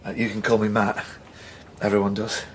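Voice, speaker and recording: An actor playing an awkward, earnest middle-aged son, polite and stammering in a naturalistic radio drama. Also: male voice, lowish voice, monotone, normal speed, a little hiss or hum, natural voice. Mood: startled, awkward. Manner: stammering, tentative.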